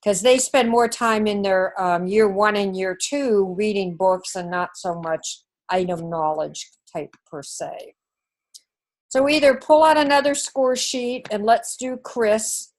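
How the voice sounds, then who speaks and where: A woman teacher with a New Zealand accent, working through the scoring of a test step by step in an online class. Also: medium pitch. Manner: slow, methodical, talks through scoring step by step.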